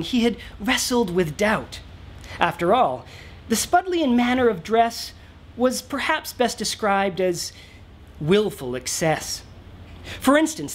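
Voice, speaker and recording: A man in his thirties reading a storybook aloud in rhyme, theatrical, rhythmic and emphatic. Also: sits high. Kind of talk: dramatic rhyming storybook narration. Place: small cosy brick-walled lounge indoors.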